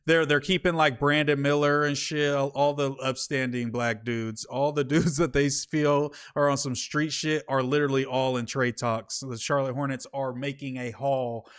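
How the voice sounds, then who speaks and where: A man's voice: medium pitch, flat delivery, moderate pace, few pauses, fairly bright tone, clear, resonant tone. A man in his early thirties hosting a casual podcast from home, with laid-back, rambling talk. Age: 30s. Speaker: podcast host. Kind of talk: casual chat.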